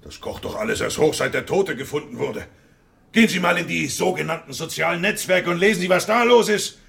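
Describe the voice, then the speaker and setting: A man's voice: on the high side, a little fast, gravelly, fairly bright tone, slight background noise. An actor playing an imperious middle-aged businessman in a radio crime drama, pompous, indignant and demanding. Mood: outraged, defiant.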